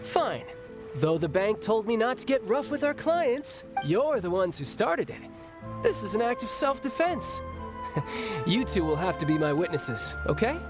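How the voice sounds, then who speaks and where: Breezy, animated young enforcer. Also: male voice, sits high, unhurried. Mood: casually menacing. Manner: breezy, teasing, confident. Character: breezy, cocky young male bank enforcer, dangerously playful. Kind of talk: video game character acting. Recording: game music underneath.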